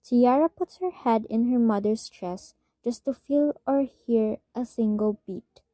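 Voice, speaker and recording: A woman's voice: on the high side, unhurried, mellow, no echo. A young woman reading scripted storytelling narration for a radio drama. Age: young adult.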